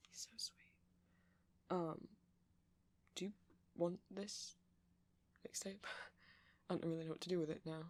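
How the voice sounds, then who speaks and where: Nerdy, gentle vampire for ASMR roleplay, played by a man: creaky, halting, rambling, reassuring. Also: high-pitched, heavily acted. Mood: shy, kind. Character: nerdy, kindly, rambling male vampire music fan. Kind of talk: ASMR roleplay, soft conversational monologue.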